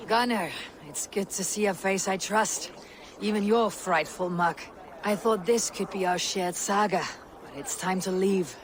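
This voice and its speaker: A woman's voice: mid-range voice, a little slow, close to an everyday voice. Female Viking warrior voiced by an actress, terse and wry, loyal, game drama over noisy ambience. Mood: wry, warm. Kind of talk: dramatic game cutscene acting.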